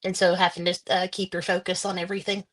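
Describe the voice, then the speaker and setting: A woman's voice: fairly low pitch, little pitch movement, fast. A woman guest author answering interview questions in a casual, hesitant way. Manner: hesitant, many ums.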